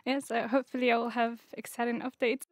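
A young woman from Italy with a fairly high voice. She speaks informally, polite and somewhat hesitant, into a studio mic with a dry sound. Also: flat delivery, fast talker, no echo. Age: young adult. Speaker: audience questioner in a podcast. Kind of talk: informal interview question. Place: small glass-walled radio studio. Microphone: studio boom microphone with headphones.

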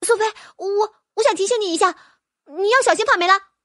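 A woman's voice: extremely high pitch, brisk, sharp tone, dry recording, clean studio sound, pitched above the performer's own voice. A woman voicing a flustered young girl who hesitates and stammers nervously.

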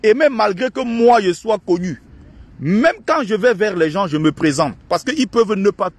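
A man with a husky, slightly high voice rants to his followers in an indignant, mocking tone. He speaks into a phone he holds outdoors by a lake in a park. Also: expressive, dry recording. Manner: indignant, ranting and mocking, quick shifts in pitch. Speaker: online activist and channel host. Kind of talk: outdoor vlog monologue.

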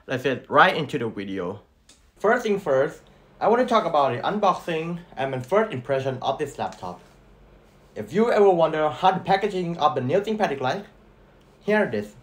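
Brief: young Thai-accented man, slightly higher voice, earnest scripted tech review narration. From Thailand. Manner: earnest, steady listing of specs. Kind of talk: scripted product review voice-over.

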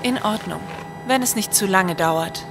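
Woman acting skeptical female programmer, mid-pitched, reserved, radio play, echoing hall, faint music. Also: measured pace, gravelly. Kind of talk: audio drama acting.

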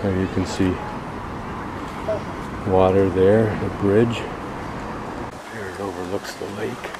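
An older man talks his way through a garden and a small museum, musing and curious as he points things out. His voice sits low and deep. Loud ambient outdoor noise runs under the camera mic. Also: fairly even intonation, slow pace. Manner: musing, thinking aloud, curious. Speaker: travel vlogger. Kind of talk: walking tour narration.

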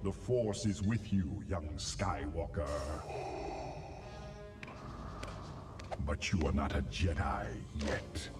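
A deep commanding dark lord villain, game cutscene, ominous and measured, big reverb, loud music. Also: male voice, animated. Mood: menacing. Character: menacing armored dark lord, commanding older man. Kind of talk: video game cutscene acting. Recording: long reverb under loud film music.

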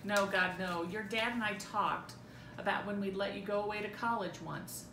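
A woman's voice: fairly even intonation, normal speed. A middle-aged woman doing a dramatic read-through of a script as a mother, recorded indoors at home. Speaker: amateur reader in a family script reading. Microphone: camera a few feet away. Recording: roomy echo, clear low rumbling noise.

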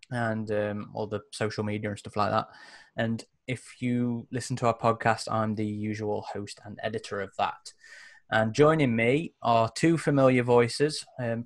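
A man with a medium-pitched voice works as host of a gaming stream. His style is casual and conversational, recorded over a video call headset. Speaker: podcast host.

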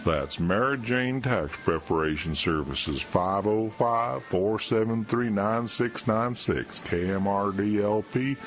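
A man's voice: on the low side, slow, hardly any pauses. A man reading a scripted radio commercial in an announcer's delivery. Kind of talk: scripted mock radio ad read.

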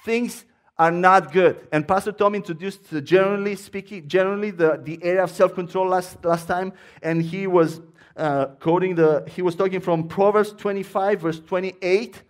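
A man's voice: sits high, steady tone, moderate pace. Romanian-accented man preaching with fervent earnestness. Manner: earnest, fervent, with frequent restarts. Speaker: guest preacher. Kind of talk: sermon. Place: church sanctuary.

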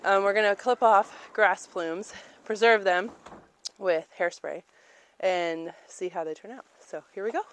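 A young woman hosting a homestead vlog, chatting in a relaxed way with "um" fillers, outdoors in a cold yard. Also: mid-range voice, a little slow. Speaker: homestead vlogger. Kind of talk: casual vlog narration.

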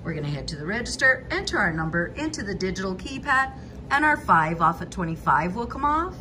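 A woman's voice: medium pitch, big pitch swings, brisk, bright, forceful tone, a little hiss or hum. A woman hosting a couponing channel, walking through store deals in a methodical voice-over, price by price.

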